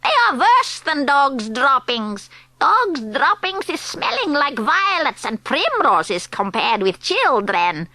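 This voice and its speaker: An imperious witch queen for an audiobook, high-voiced and wildly swooping. Her put-on foreign accent mangles English as she commands theatrically and stretches her words slowly, full of scorn for children. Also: big pitch swings, sharp tone, no room sound, heavily acted, low-quality recording. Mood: venomous disgust. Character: Grand High Witch, imperious female villain loathing children. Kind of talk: audiobook character performance.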